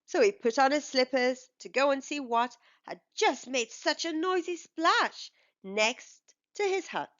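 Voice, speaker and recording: A woman reading a children's storybook aloud as a storytime host, with measured, expressive delivery. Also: high-pitched, lo-fi sound.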